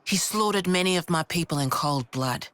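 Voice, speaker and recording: An actor voicing a gruff, matter-of-fact, world-weary resistance leader in a video game cutscene. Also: male voice, a little high, normal speed, fairly bright tone, dry recording, close to an everyday voice. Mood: grim, guarded. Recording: dramatic game music behind voice.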